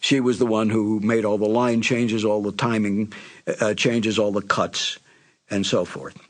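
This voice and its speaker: An older man, a former radio announcer, looking back on his career in a relaxed, conversational way and sometimes correcting himself mid-sentence. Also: lowish voice, unhurried. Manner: relaxed, conversational storytelling with small self-corrections. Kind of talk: reminiscing anecdote.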